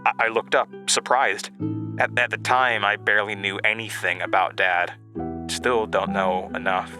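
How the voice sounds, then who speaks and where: A young man acting as a haunted narrator in an audio drama, recalling his childhood in a halting, reflective monologue. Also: fairly low pitch, steady tone, natural voice. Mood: wistful, reflective. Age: young adult. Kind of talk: dramatic first-person monologue, reminiscing. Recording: music bed under voice. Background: music.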